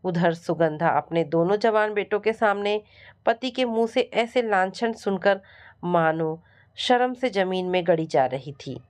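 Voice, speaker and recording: A woman narrates a scripted family story in a dramatic storytelling style. Her pace is slow and deliberate, with a mid-range pitch. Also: a little mellow.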